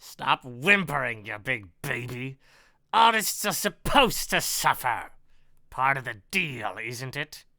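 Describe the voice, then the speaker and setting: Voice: medium pitch, very animated, slow pace, sharp tone, no echo, studio quality, heavily acted. A performer voicing a sneering, pudgy, vain bully with a gun in an amateur script reading, mocking and drawing out the taunts. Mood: menacing, sneering. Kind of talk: amateur script read-through.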